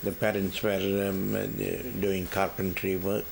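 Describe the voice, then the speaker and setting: A low, gravelly voice from an older man who was born in India. He answers hesitantly, with many pauses, fillers and repeated words. His voice stays flat and slow, with a faint hiss of noise behind it. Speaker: interviewee. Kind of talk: oral history interview.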